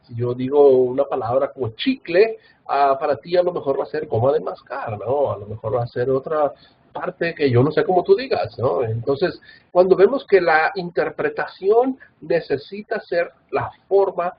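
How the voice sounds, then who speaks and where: A man's voice: on the high side, lively intonation, moderate pace, lo-fi sound, slight background noise. A man from Mexico with a Guadalajara Mexican Spanish accent, giving a recorded Bible institute lecture in an earnest manner, with many filler "ah"s and tag questions.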